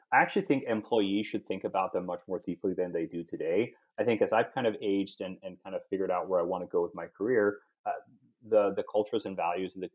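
Low-voiced European man, thoughtful restarts. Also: darkish tone, husky, lo-fi sound. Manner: thoughtful, frequent restarts and repeated words. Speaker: guest. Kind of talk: podcast conversation.

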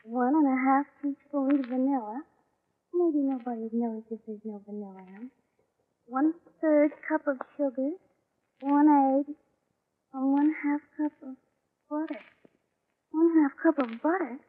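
Woman acting a very high-pitched plaintive young child. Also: normal speed, pauses often, close to an everyday voice. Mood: fretting, absorbed. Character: earnest, anxious young child, plaintive and innocent. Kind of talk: 1940s radio drama acting. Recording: muffled vintage radio broadcast recording.

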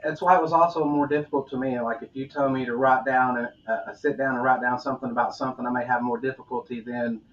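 A man hosting a podcast, asking questions in a halting way with frequent restarts and fillers. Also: medium pitch, steady tone, fairly bright tone, recorded from a distance, lo-fi sound, slight background noise. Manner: halting, restarts often, many fillers, affirming with "right". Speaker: podcast host. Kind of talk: conversational interview.

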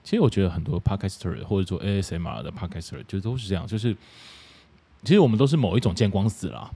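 A man's voice: low voice, animated, fast talker, mellow, husky. A man with a Taiwanese Mandarin accent hosting a podcast in a casual, chatty way. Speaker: podcast host. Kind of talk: casual chat.

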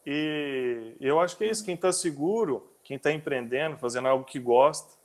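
A man hosts a casual interview, his voice pitched a little above the usual for a man. He talks in a halting way, restarting his sentences and filling the pauses as he goes. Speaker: interview host.

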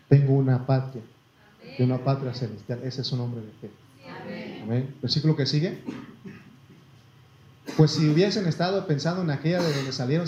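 Predicador mexicano, voz suave y resonante. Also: male voice, a little high, dark tone, a little hiss or hum. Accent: Mexican Spanish. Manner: folksy, addresses listeners as brother, asks for amens. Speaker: preacher. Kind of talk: sermon with Bible reading. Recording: echoey room, slightly muffled.

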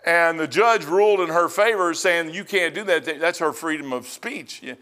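A man's voice: on the high side, moderate pace, sharp tone. A man, preaching a rambling, conversational sermon and often repeating himself. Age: 60s. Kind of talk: folksy sermon.

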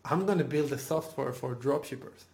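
A man's voice: mid-range voice, normal speed, gravelly, a little mellow. A man hosting an interview podcast, asking eager, leading questions and often restarting his sentences. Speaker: podcast host.

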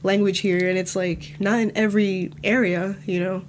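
A woman's voice: medium pitch, moderate pace, slight background noise. Young woman chatting, filler-heavy. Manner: relaxed, many "like" and "you know" fillers. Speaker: student guest. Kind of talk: casual podcast conversation.

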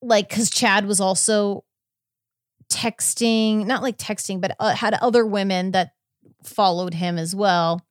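A woman's voice: mid-range voice, fairly even intonation, unhurried, dry recording. Casual woman podcaster, close dry sound. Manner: hesitant, self-correcting. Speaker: podcast host. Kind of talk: casual chat recapping a court case.

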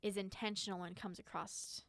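A woman's voice: medium pitch, little pitch movement, normal speed, dry recording. A young woman in college talking eagerly and earnestly in a casual podcast chat. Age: young adult. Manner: enthusiastic, talkative, earnest.

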